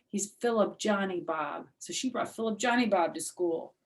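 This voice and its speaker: A woman's voice: mid-range voice, moderate pace. An older woman with an American accent, reading a children's story expressively with simple, chatty explanations for young listeners. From United States. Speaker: storyteller, former teacher.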